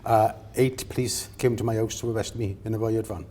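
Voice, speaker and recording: An elderly Welsh man in his seventies being interviewed. His voice sits a little low. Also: steady tone, brisk, warm tone. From Wales. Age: 70s. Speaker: interviewee, local resident. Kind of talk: documentary interview.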